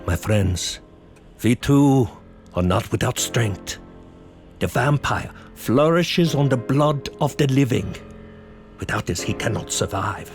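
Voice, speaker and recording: An actor playing a grave older professor who hunts vampires, speaking in solemn, deliberate oratory in a horror audio drama. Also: male voice, mid-range voice, vocal fry. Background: music.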